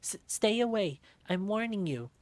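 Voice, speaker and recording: A man's voice: sits high, expressive, moderate pace, voice pushed up. A man voicing a young fox boy from a visual novel, desperate, frightened and defiant. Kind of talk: visual novel character acting.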